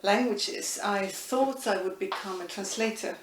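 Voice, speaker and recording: A woman's voice: medium pitch, unhurried. Woman, roomy and distant. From Estonia. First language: Estonian. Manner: thoughtful, frequent hesitations and restarts. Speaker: interviewee, linguistics professor. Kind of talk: reflective interview answers. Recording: echoey room, distant mic, faint noise.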